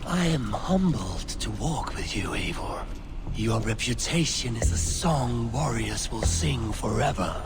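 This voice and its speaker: An actor voicing a commanding old Norse god in a video game, with breathy, low, solemn and grandiose delivery. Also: male voice, expressive, music in the background. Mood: solemn praise. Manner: slow, grandiose, measured pronouncements. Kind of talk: dramatic video game voice acting.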